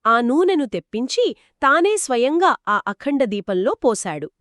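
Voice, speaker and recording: Lively, expressive woman storyteller in a dry recording. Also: sits high, a little slow, no echo. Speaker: storyteller host. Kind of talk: conversational moral storytelling in a two-host dialogue.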